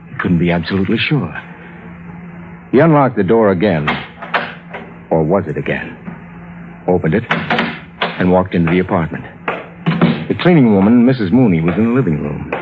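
Low-voiced sombre narrator, tinny radio. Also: male voice. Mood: ominous, measured. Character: sombre male friend narrating a strange tale. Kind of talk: 1940s-50s radio drama narration. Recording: tinny old radio recording, loud music behind.

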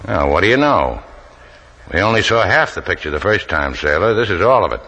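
Low, hard-boiled radio adventure hero. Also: male voice, fairly quick, muffled recording, heavily acted. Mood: sardonic. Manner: hard-boiled, clipped, deadpan. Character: tough, wisecracking adventurer hero, coolly sardonic. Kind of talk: 1940s-50s radio adventure acting.

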